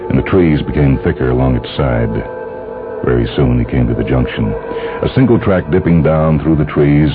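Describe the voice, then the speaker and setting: A man's voice: a bass voice, phone-quality sound. A man reading a science fiction story as dramatic scripted narration. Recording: band-limited radio-like sound with music behind. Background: music.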